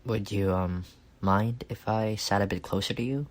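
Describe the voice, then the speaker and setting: A man acting a reserved, awkward and earnest best friend in an intimate roleplay, speaking softly and haltingly. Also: lowish voice, fairly even intonation, close to an everyday voice. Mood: calm, hesitant. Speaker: voice actor.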